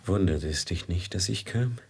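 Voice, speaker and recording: An actor playing a grave, searching merchant with brooding, poetic intensity in a 1950s German radio drama. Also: male voice, low-pitched, moderate pace, exaggerated voice. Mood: brooding, uneasy. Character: troubled, searching merchant in an Arabian Nights tale. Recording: hushed, husky-sounding 1950s mono radio recording.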